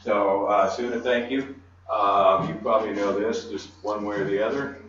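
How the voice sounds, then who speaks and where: Lower-voiced man introducing in an echoey room. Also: flat delivery, moderate pace, a bit bright, a little hiss or hum. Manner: hesitant, informal introduction. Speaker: conference emcee. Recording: echoey room, distant mic, dull.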